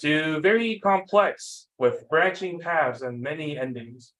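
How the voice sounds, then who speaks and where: A man's voice: fairly high pitch, takes it slowly, fairly bright tone, poor audio. A young man presenting a student workshop in an informal, self-deprecating, rambling way, running on very little sleep. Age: young adult. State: sleep-deprived. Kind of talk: informal slide lecture.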